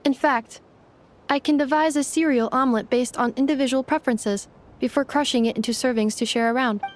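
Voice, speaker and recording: A woman voices a female robot helper from a video game in a high, precise voice. She is polite, formal and matter-of-fact, taking everything literally. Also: fairly even intonation, brisk, a little hiss or hum, natural voice. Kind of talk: video game character acting.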